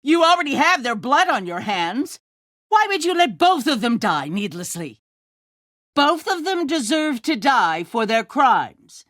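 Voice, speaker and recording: A woman playing a calculating, ruthless leader of a survivor town in a video game, speaking in a measured, condescending way with controlled menace. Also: high voice, sing-song, a bit bright, no echo, studio quality, full character voice. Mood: stern, menacing. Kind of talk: dramatic video game acting.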